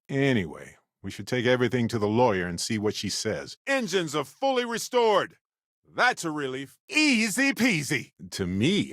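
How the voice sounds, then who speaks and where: An actor playing an affable, witty, talkative space explorer in a video game, with an expressive, wry, folksy way of speaking. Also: male voice, mid-range voice, dry recording, studio quality. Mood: excited, playful, then reflective, wry. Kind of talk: scripted video game character dialogue.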